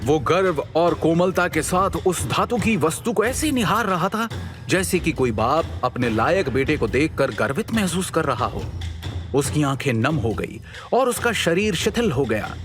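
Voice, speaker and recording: Male narrator, dramatic scripted storytelling, lively intonation, slightly high pitch, suspenseful delivery, faint music underneath. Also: a little slow.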